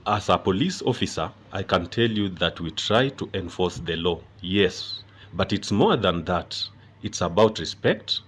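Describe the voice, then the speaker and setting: A man's voice: low-pitched, a bit bright, a little hiss or hum. A man playing a firm, earnest police officer making an emphatic speech in a radio drama.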